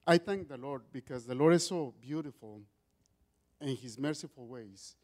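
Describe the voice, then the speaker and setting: A middle-aged man from Mexico with a Mexican accent, giving an earnest church testimony in a loud voice with many restarts. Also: medium pitch, moderate pace. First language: Spanish. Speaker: guest speaker giving testimony.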